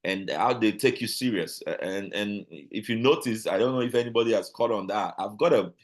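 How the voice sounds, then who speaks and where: A man with a Nigerian accent, a guest talking casually in an interview, animated and full of restarts and repeated words. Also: fairly low pitch, fairly quick. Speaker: guest, business owner.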